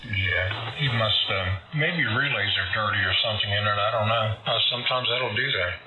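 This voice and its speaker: A man chatting as a ham radio operator, loud and halting. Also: fairly low pitch, muffled recording, background noise. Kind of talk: casual radio chat.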